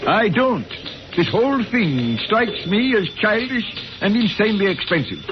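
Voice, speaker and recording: An actor in a 1950s radio drama playing a weary, principled, fussy middle-aged professor who is hesitant and wryly indignant. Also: male voice, sits high, sing-song, measured pace, over music. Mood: indignant, pleading. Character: weary, principled, cantankerous male professor with dangerous powers. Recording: muffled, noisy old radio broadcast.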